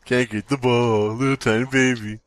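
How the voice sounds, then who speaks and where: A man's voice: medium pitch, steady tone, normal speed, dry recording. A man co-hosting a podcast, chatting casually with sarcastic, playful riffs and some swearing. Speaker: podcast co-host. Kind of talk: joking casual chat, riffing.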